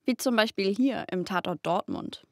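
A woman's voice: medium pitch, lively intonation, moderate pace, vocal fry, dry recording, clean studio sound. A woman narrating a light feature report with a friendly scripted read.

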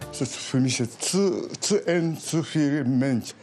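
A man from Japan with a Japanese accent, interviewed, speaking in halting, simple fragments as a non-native speaker. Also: medium pitch, expressive, a little mellow, soft background music. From Tokyo, Japan. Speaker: shop owner being interviewed.

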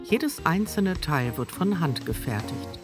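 Lively-voiced reporter over guitar music. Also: medium pitch, a little slow. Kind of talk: scripted narration.

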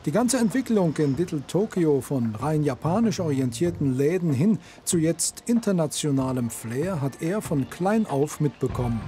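Man, TV narration, scripted, mellow tone, higher pitch, faint music behind. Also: expressive. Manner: smooth, measured reading. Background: soft music.

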